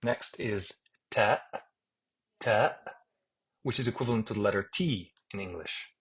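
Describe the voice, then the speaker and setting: A man with a Palestinian accent teaching an alphabet lesson, saying each letter name slowly and repeating it patiently. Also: lowish voice, a bit bright, husky. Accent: Palestinian Arabic. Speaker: Arabic teacher. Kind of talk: screen-share alphabet lesson.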